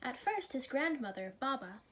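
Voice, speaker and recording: A woman with a fairly high voice reads a story for children. She phrases each part clearly and puts expression into the telling, as a storybook reader does. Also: sing-song, normal speed, a bit bright, slight background noise. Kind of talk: children's story read aloud.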